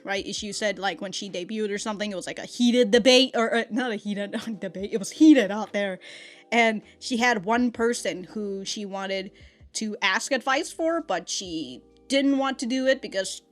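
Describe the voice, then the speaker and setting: A woman giving a chatty, rambling review as a reaction host, with casual slang and swearing. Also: mid-range voice. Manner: profane, slangy, laughs often while talking.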